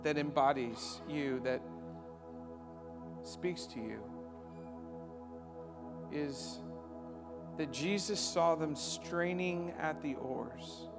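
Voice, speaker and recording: Gentle man leading prayer over music. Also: on the high side, drawn-out words, long pauses. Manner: gentle, quiet pastoral address. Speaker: pastor. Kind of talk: closing prayer. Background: soft ambient worship music.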